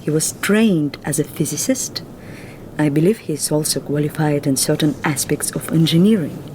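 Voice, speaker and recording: A woman's voice: sits low, noisy background, lightly acted. An actress playing a guarded Russian woman. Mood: earnest. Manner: formal, measured and reserved. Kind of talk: film drama dialogue.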